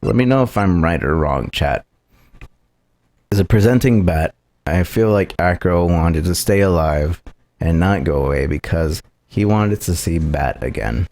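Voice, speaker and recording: Casting: man as live game streamer, lowish pitch, animated range, casual and hesitant commentary. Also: unhurried, dry recording.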